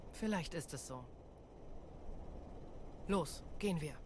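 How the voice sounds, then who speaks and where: A woman's voice: deep voice, animated, takes it slowly, a little hiss or hum. A woman playing a stern, resolute Jedi commander in a video game, serious and commanding. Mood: troubled. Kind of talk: dubbed video game cutscene acting.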